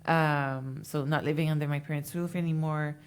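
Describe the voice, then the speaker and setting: A woman speaks in a low register. As an interview guest she tells her story in an easy, chatty way, full of fillers. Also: fairly even intonation, moderate pace, a little hiss or hum. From Montreal, Canada. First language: French. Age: 20s. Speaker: interview guest, bikini competitor. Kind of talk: casual interview talk.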